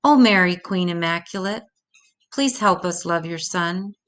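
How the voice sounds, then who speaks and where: An older woman reading a rhymed children's religious story aloud in a measured, deliberate way. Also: fairly low pitch, fairly bright tone.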